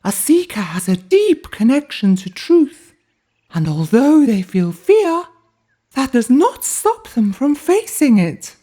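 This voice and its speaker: Slow, swooping wise owl. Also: female voice, sits high, sing-song, mellow, breathy edge, exaggerated voice. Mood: solemn. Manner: slow, drawn-out, oracular delivery. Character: wise, solemn tawny owl giving counsel. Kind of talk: storybook character voice.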